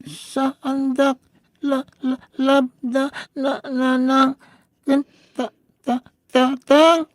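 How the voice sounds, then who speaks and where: A woman voicing a timid, sad little boy in a radio drama, speaking haltingly with a heavy stammer. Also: sits high, level tone, no room sound. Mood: sad, hurt. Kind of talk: Filipino radio drama acting.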